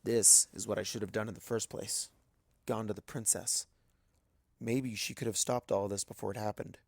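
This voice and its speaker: Creaky-voiced man narrating a story. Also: on the low side, steady tone, normal speed, dry recording. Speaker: audiobook narrator of fan fiction. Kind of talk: first-person dramatic scripted narration.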